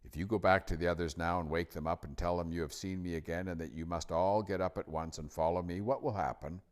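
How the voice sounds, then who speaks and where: A man voicing a great lion who is calm, gentle and commanding, speaking in a measured, solemn storybook manner. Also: low-pitched, clear, resonant tone, close to an everyday voice. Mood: patient, firm. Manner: measured, solemn, tender toward a child.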